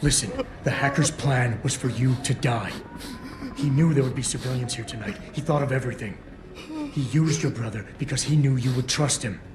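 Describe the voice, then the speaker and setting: An actor playing a stoic, unflappable security operative in a video game, with terse, deadpan delivery. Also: male voice, mid-range voice, brisk, lightly acted. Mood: firm, reasoning. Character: stoic, hard-boiled male security operative, dry and unflappable. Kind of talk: dramatic video game acting. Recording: roomy echo, loud music underneath.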